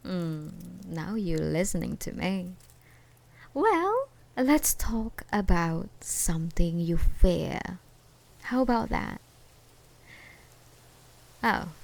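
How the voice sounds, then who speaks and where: A woman playing an obsessed, unhinged ex-girlfriend in an ASMR roleplay, taunting and possessive in a sweetly menacing, giggly way with drawn-out words. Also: lowish voice, sing-song, vocal fry, a little mellow, heavily acted. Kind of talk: ASMR roleplay acting, one side of a conversation.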